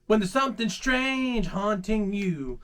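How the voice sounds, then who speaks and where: A man hosting a children's story time, reading aloud in a playful, dramatic, animated way. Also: sits high, unhurried, clean tone. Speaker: host reading children's stories.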